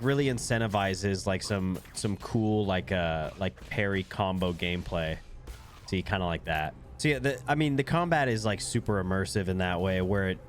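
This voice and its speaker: Stuffy nosed young guy rambling about a game he's playing, kinda low voice, faint hum behind. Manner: laid-back, rambling with fillers. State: congested, nasal. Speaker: gaming video creator. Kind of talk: casual gameplay commentary. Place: at his desk playing video games.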